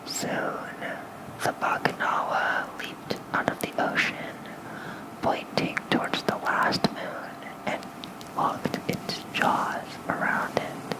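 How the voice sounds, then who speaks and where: An ASMR host reading lore as a bedtime story in a soft, breathy whisper, gentle and soothing, close to a fluffy microphone. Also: rough recording. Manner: slow, gentle, soothing delivery. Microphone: close fluffy-covered microphone.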